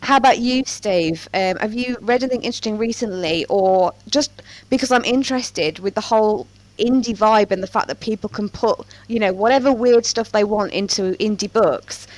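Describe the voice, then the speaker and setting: A woman's voice: mid-range voice, normal speed, no echo. A woman chatting casually as a podcast host about her interests. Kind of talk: casual book podcast chat.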